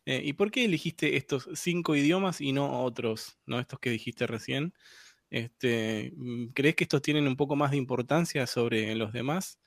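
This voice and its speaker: A man's voice: mid-range voice, normal speed, creaky, no room sound, studio quality. A man hosting a podcast, talking loosely with many filler words. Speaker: podcast host. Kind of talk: casual podcast interview.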